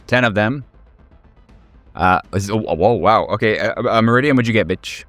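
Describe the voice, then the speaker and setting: A man's voice: fairly low pitch, moderate pace, fairly bright tone, no echo, soft background music. Casual, profane man running a horror game. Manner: profane, joking. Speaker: game master. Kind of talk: casual, improvised narration of a horror tabletop game.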